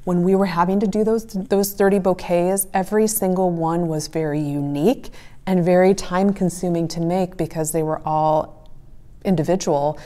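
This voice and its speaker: A woman's voice: fairly low pitch, steady tone, moderate pace, dark tone. A woman in her forties giving conversational, explanatory advice to camera as a farmer and host. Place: small wood-panelled room indoors by a stove.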